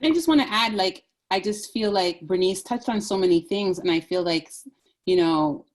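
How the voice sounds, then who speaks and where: A woman moderating a panel discussion, talking in an animated, chatty way with many fillers. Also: mid-range voice, moderate pace.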